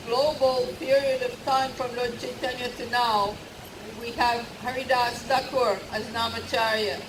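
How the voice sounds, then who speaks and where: A woman giving an earnest devotional lecture at a retreat, stressing and repeating her key points. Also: on the high side. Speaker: religious teacher. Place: retreat hall. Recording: distant voice in a roomy hall with background noise.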